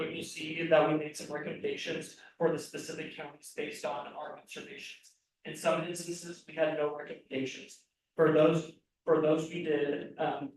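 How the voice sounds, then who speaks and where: A man reads out audit figures county by county, stumbling with frequent ums and restarts. His voice sits a touch high and stays flat. It comes from far off the mic in a very echoey room. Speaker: state election audit official presenting results. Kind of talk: reading audit results at a public meeting.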